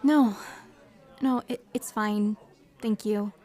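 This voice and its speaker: A woman's voice: medium pitch, fairly even intonation, takes it slowly, dark tone, lightly acted. A young woman playing a shy, apologetic female hospital volunteer in an audio drama, with a hesitant manner. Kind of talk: audio drama acting with reflective first-person narration.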